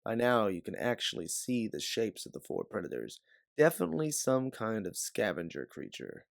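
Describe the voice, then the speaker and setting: Low-voiced man narrating an audiobook. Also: big pitch swings, moderate pace, vocal fry, no room sound, clean studio sound. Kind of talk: scripted second-person story narration.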